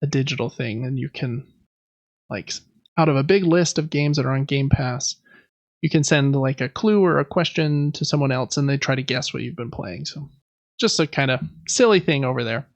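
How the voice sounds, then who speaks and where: A man's voice: mid-range voice, moderate pace, no echo, dull audio. A man co-hosting a podcast, talking through gaming news in a casual, conversational way. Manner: conversational, loosely reading through news items. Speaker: podcast co-host.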